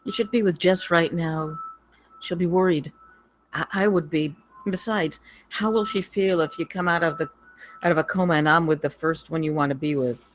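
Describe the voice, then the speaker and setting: A woman acting as a wife who is jealous and still in love, confiding her hurt in a slightly low voice. It is a film table read with some noise behind her. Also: normal speed, lightly acted, rough recording. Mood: anxious.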